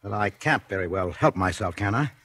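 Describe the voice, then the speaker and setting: A man's voice: on the low side, expressive, brisk, fairly bright tone. An actor playing a bitter, brooding middle-aged man accused of murder, with a sardonic manner, in 1940s radio drama style. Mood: irritable, weary. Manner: sardonic, mocking. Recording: husky-sounding vintage 1940s radio recording.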